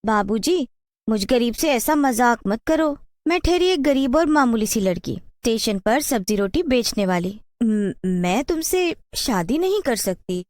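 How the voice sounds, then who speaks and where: A woman's voice: fairly high pitch, lively intonation, a little slow, darkish tone, no room sound. A woman voicing a poor, meek young bride with a pleading, earnest manner in a cartoon story. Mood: humble. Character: poor, meek young food-seller bride, wronged and submissive.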